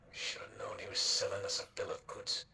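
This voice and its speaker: A man's voice: fairly low pitch, level tone, normal speed, gravelly, background noise. An actor playing a world-weary man ruefully recounting a betrayal in a dramatic video game monologue. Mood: bitter, rueful.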